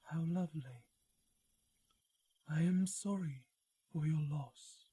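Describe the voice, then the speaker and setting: Fantasy roleplay: proud female dragon protector played by a woman, poised and formal, extremely breathy, slow delivery. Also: sits low, full character voice. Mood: calm, sympathetic. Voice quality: very breathy, soft. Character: proud, gentle female dragon, youthful-spirited island protector. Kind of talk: fantasy audio roleplay, ASMR.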